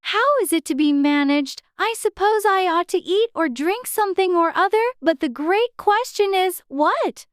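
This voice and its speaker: Storybook little girl, very high and piping, prim, curious, wondering aloud, dry close sound. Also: expressive, moderate pace, hardly any pauses, a bit bright, no room sound. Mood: wistful. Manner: prim, wondering, talks to herself. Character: curious polite young girl. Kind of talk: dramatized audiobook reading.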